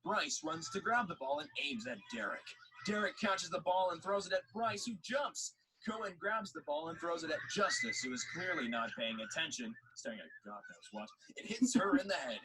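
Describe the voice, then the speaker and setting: A man's voice: on the high side, animated, normal speed. A man reading scripted story narration for an audio drama. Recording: faint background noise.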